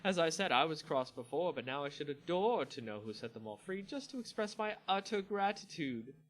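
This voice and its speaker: A man acts out a noble vampire hostess from a fantasy story, high and very animated. She is gushing and conspiratorial, with imperious, gleeful relish in every phrase. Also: fairly quick, rough recording. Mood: sly, mock-grateful.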